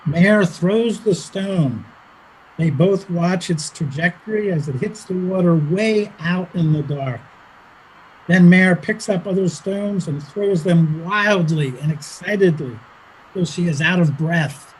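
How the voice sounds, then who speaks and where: A woman's voice: on the low side, slow, poor audio. A woman reading stage directions aloud as scripted narration in a play reading, with faint noise behind her.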